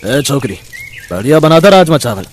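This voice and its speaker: A man voicing a gruff, bossy, slangy street gangster in a cartoon story. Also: medium pitch, very animated, moderate pace. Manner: brusque, slangy. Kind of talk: Hindi cartoon moral story.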